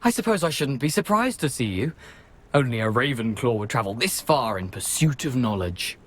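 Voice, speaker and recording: A man's voice: medium pitch, very animated, normal speed. A man voicing a breezy, genteel ghost of a former student in a video game, with a theatrical, flippant manner. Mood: breezy, reluctant. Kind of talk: scripted video game acting.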